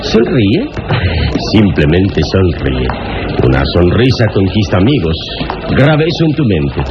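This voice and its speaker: A man acting a calm, gallant adventurer hero in a 1960s Latin American radio drama, speaking in a measured, fatherly, theatrical way. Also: low voice, exaggerated voice. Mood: serene, fatherly. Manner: measured, paternal, theatrical, unhurried. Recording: dull 1960s radio recording, loud music behind.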